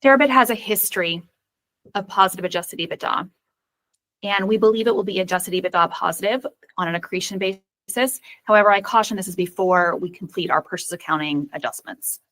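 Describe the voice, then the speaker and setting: A woman's voice: on the low side, a little fast, fairly bright tone, no room sound, low-quality recording. A woman speaking as a finance executive, giving a careful, formal answer on an earnings call.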